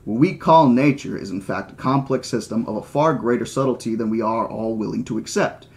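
Man reading as a flatly insistent lecturing mathematician. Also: medium pitch, brisk, vocal fry, voice pushed down, close to an everyday voice. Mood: exasperated lecturing. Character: male mathematician, lecturing, certain and condescending. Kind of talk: audiobook character reading.

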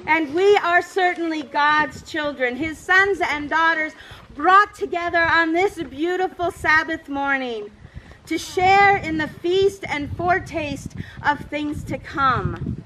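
Older woman, pastor, high voice, deliberate projected delivery, open-air church service, noisy distant camera recording. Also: bright, forceful tone. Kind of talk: outdoor church service, communion liturgy and informal asides. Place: open grassy campground, outdoors. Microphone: camera several metres away.

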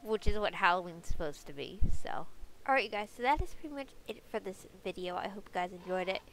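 A young woman with a stuffy, congested voice talks through a game guide in a chatty, upbeat way, full of little ums. Her pitch sits in an ordinary middle range, and a faint hiss of noise runs under the recording. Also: lively intonation, fast talker, a bit bright, rough recording. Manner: chatty, many fillers, upbeat. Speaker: game video creator. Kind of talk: casual gameplay guide narration.

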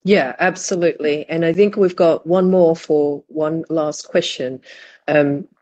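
A woman's voice: low-pitched, fairly even intonation, measured pace, darkish tone, dull audio, slight background noise. A woman hosting an online panel on a headset, affirming the speakers and asking questions in a conversational way. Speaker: panel host. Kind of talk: moderating a panel discussion. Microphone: headset on video call.

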